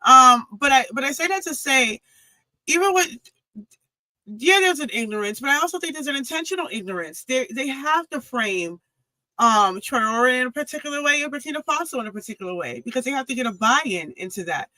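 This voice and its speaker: A woman guest on an interview podcast, talking with a forceful, pressing tone. She works through her analysis point by point, often restarting her sentences. Her voice is close and dry, with no room sound. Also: high-pitched, lively intonation, a little fast, no room sound, poor audio. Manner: analytical, builds arguments with frequent restarts.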